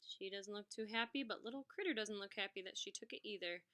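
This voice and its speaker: Bright-voiced woman reading to children. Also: mid-range voice, brisk. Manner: expressive, explanatory, addressing young children. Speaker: music teacher reading aloud to pupils. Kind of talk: children's storybook read-aloud with asides.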